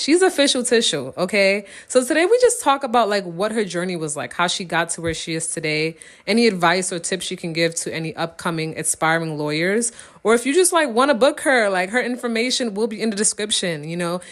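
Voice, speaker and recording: A woman hosting a podcast, talking in a bubbly, slangy, excitable way as she chats casually with a guest. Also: medium pitch, brisk, few pauses. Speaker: podcast host. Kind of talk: casual interview chat.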